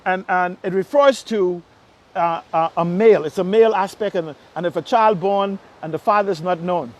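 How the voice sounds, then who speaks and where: A man with a Bahamian accent speaks in a notably high voice for a male. He comes across as an impassioned political commentator. His delivery is emphatic, leaning on repetition and pointed rhetorical questions to press each point.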